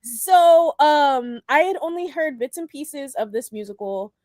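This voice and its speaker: A woman's voice: high-pitched, animated, unhurried, a little mellow, dry recording, clean studio sound. A woman hosting a podcast, giving her opinions expressively in a casual group discussion. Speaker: podcast host.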